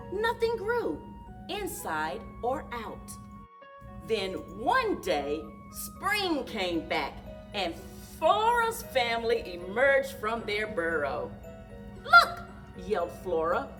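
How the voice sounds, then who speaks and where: Slow, animated woman reading a storybook. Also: medium pitch. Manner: expressive, deliberate, addresses children directly. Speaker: children's librarian reading story time. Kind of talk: animated picture-book read-aloud for young children. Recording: distant microphone, music mixed in.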